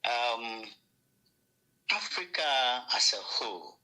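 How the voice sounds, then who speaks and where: A man from Ghana, a guest answering over a remote call line, speaking slowly and deliberately, with stammered repeats. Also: mid-range voice, big pitch swings, sharp tone, rough recording.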